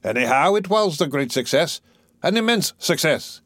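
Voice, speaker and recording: A man's voice: fairly high pitch, expressive, moderate pace, a bit bright, no echo, clean studio sound, voice pushed up. A man playing an arrogant, impatient male professor with an imperious, condescending, exclamatory way of talking in a play reading. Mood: smug, relieved.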